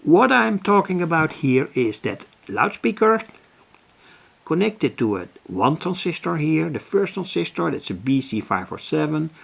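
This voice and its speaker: Hobby electronics host, gravelly low-key voice, slow and halting. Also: male voice. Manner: slow, deliberate, with many restarts and self-corrections. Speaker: electronics hobbyist explaining a circuit. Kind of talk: slow, halting explanation of a schematic. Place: home workbench.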